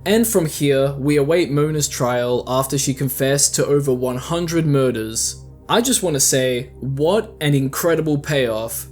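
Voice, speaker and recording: Higher-pitched man narrating a video essay. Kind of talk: scripted video essay commentary with casual asides. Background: background music.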